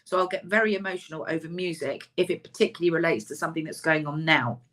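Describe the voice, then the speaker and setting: A woman, a counsellor and author, giving a chatty, emphatic webinar talk over a video call, her voice slightly husky. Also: low voice, fast, fairly bright tone. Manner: chatty, self-deprecating, emphatic.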